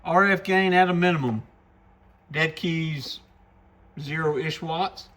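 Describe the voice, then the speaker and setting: A man's voice: on the high side, takes it slowly, a bit bright. A man giving a casual product demo in a folksy, enthusiastic way, like a shop presenter talking to viewers.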